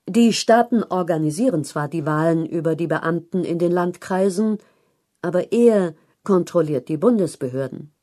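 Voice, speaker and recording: Low, mellow woman reading a feature. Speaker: narrator reading a news feature article. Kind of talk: scripted narration of long-form journalism.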